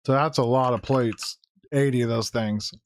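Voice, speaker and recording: A hoarse man who is losing his voice, giving casual gameplay commentary, thinking aloud with fillers and self-corrections. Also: mid-range voice, fairly even intonation, moderate pace. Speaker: gaming YouTuber. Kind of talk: casual Let's Play commentary.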